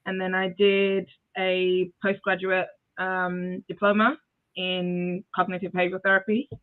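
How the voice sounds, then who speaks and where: A woman's voice: fairly low pitch, little pitch movement, measured pace. Giggly woman on a tinny call. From Zimbabwe. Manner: laughs often, hesitant with fillers. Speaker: interview guest. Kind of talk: casual video interview. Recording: tinny phone-like audio.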